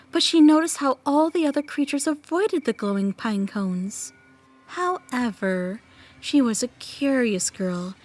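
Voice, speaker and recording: A woman as a high-voiced storytelling big sister. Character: calm, mysterious big sister, fond of eerie tales. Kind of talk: dramatic storytelling in an audio play.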